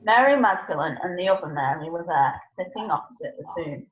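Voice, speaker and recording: A woman's voice: fairly low pitch, expressive, brisk, a little hiss or hum. Woman narrating scripture, echoey room. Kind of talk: scripture reading. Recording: echoey room, slightly muffled.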